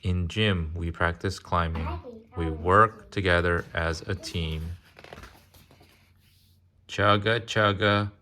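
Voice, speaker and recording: Deep-voiced guy reading a kids book slowly, chatty asides, kinda noisy home recording. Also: steady tone, bright, forceful tone. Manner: interactive, pausing to ask and explain. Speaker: adult reading a picture book to a child. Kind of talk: casual read-aloud with chatty asides.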